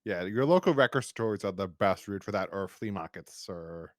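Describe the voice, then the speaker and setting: A man's voice: deep voice, animated, normal speed, no room sound, studio quality. An American man hosting a podcast, chatting casually and wordily, with stammering restarts. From United States. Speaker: podcast host. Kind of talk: casual review podcast chat.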